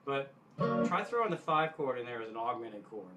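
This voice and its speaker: A man giving casual guitar instruction in a halting way, often backtracking and clearing his throat. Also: mid-range voice, big pitch swings. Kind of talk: casual instructional talk to camera.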